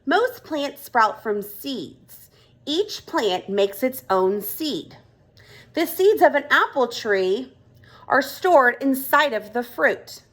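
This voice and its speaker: A woman's voice: high voice, very animated, slow pace, a bit bright, full character voice. A woman voicing a friendly grown-up frog in a children's storybook, explaining things patiently and with emphasis. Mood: patient, reassuring. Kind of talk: children's storybook read-aloud dialogue.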